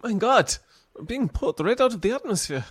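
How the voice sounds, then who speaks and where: A man's voice: sits high, animated, a little fast, slightly rough, no room sound, studio quality, pitched above the performer's own voice. A man playing an alarmed mutant jet pilot in a live superhero radio play. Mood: alarmed, urgent. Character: alarmed mutant pilot at the jet's controls, male.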